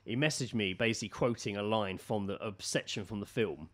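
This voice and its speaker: A man co-hosting a podcast with casual comic banter, telling stories in an animated way and acting out quotes. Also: fairly low pitch, fairly quick. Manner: animated storytelling, acts out quotes in raised voice. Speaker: podcast co-host.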